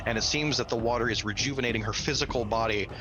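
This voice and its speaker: A man with a mid-pitched voice runs a tabletop game. He narrates dramatically and encourages the players, with music playing clearly behind him. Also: fast talker, background noise. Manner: theatrical, encouraging. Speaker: game master.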